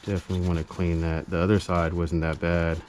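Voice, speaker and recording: A man with a low-pitched voice talks through a hands-on repair in loose, slangy, unscripted chat. He drifts between muttering to himself and addressing viewers. The action-camera audio has a faint noise behind him. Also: flat delivery, fast talker, a little mellow. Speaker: amateur repair vlogger. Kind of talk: casual unscripted chat while working on a repair. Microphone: GoPro action camera.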